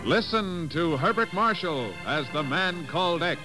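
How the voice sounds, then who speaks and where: A man's voice: on the high side, animated, a little slow, low-quality recording. A man narrating a 1950s radio drama with a grand, suspenseful delivery. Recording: old radio recording with loud organ music. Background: loud organ music.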